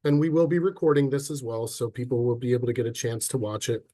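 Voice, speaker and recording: A man with a head cold and a slightly stuffy voice, giving a relaxed, chatty online presentation with frequent ums and restarts over a computer mic. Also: medium pitch, quick pace. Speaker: sales and marketing officer presenting a webinar. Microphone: computer mic on a video call.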